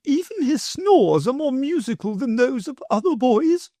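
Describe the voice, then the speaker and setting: A storybook reader voicing a haughty, gushing grand lady in a high, lofty voice. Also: very animated, unhurried, dark tone, no echo, studio quality, exaggerated voice.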